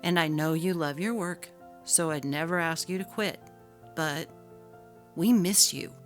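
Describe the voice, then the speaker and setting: A woman's voice: deep voice, a little slow. A woman voicing a patient, neglected husband who pleads earnestly in a dramatic story reading. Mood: pleading. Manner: earnest, gently reproachful. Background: faint music.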